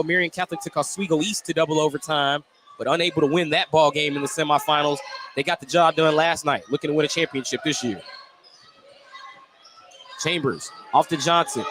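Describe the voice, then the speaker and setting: A man doing live basketball play-by-play for radio, in clipped descriptive phrases that lift with excitement on big plays. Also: fairly high pitch, fairly quick. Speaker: play-by-play radio sports announcer. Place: indoor basketball gym, courtside. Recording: noisy live gym ambience behind commentary.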